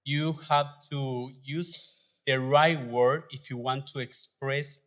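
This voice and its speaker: A man telling his own story in halting English, pausing often with fillers and nervous laughs at a live event. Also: mid-range voice, fairly even intonation. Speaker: adult learner sharing his story. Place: event venue.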